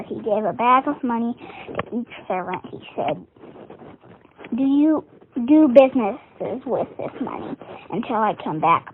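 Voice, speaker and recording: A woman's voice: fairly high pitch, a little hiss or hum. A female reader giving a scripted reading of a Bible story over a tinny, phone-like recording. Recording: tinny phone-like band-limited audio.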